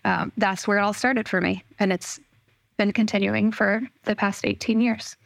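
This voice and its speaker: A woman in her thirties, a podcast guest telling her personal story in a reflective, relaxed way. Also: medium pitch, normal speed, vocal fry, no room sound. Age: 30s.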